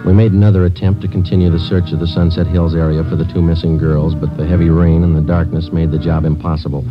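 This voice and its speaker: An American man playing a stoic, businesslike police sergeant, narrating in a terse, deadpan 1950s radio drama style. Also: deep voice, fast, music in the background, lightly acted. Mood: flat, grim. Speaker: lead actor and narrator. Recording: muffled old radio broadcast, musical score.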